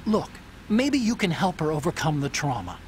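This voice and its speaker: Earnest, higher-voiced scientist ally. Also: male voice, sing-song, normal speed, a little hiss or hum. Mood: pleading. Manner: earnest, explanatory, hesitant. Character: male scientist ally, earnest, explanatory, troubled. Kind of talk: video game cutscene acting.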